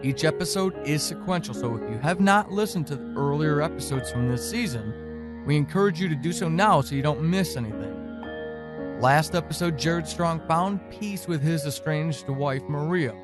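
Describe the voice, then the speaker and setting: Male podcast announcer, slightly high pitch, scripted introduction delivery with clear musical backing.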